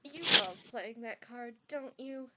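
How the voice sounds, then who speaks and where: A woman's voice: a little high, a little slow, pushed and bright. A woman playing a stern but kindly older witch professor, formally addressing pupils in an amateur audio drama. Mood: teasing.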